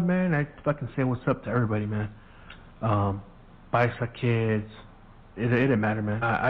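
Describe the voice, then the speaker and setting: A middle-aged man spins a laid-back, profane story in a mid-range voice. He slips into the voices of the people he quotes. The sound is narrow and tinny, like a phone call. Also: medium pitch. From Southern California. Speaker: podcast host. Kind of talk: casual storytelling monologue. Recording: phone-quality audio.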